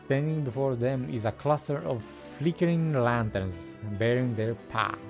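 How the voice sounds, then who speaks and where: Casting: male game streamer, medium-pitched, informal commentary and text reading over soft game music. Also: moderate pace. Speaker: gaming content creator.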